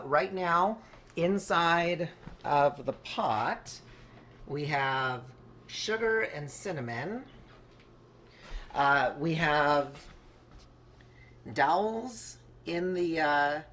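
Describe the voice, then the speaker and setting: A person giving a casual, unscripted how-to narration as a home baker, in a chatty, rambling, hedging way. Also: mid-range voice. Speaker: home baker hosting a craft project video. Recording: clearly noisy home recording.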